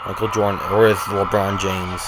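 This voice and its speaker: Man, reaction host, rambling joking asides, lowish voice, noisy background. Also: little pitch movement, normal speed. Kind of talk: casual reaction commentary.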